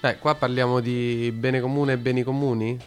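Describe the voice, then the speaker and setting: A man's voice: mid-range voice, level tone, moderate pace, clear, resonant tone, faint music behind. A man co-hosting a radio talk show, explaining a topic at length with many hesitations and restarts. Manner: hesitant, lots of fillers and restarts. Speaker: radio co-host.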